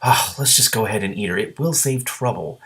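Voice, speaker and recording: Hungry storybook dragon, low, dismissive, impatient, voiced by a male reader. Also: a little fast, fairly bright tone.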